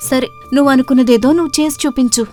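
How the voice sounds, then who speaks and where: A woman voicing a loyal, encouraging young village woman in a moral story, with an animated questioning manner. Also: high voice, fast, pitched above the performer's own voice, natural voice. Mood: urging. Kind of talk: acted dialogue in a moral story. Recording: music bed under the voice.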